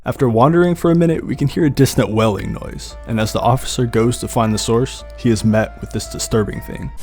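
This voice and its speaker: A man's voice: medium pitch, a little fast, a little mellow. Man narrating horror commentary over music. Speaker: video host and commentator. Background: background music.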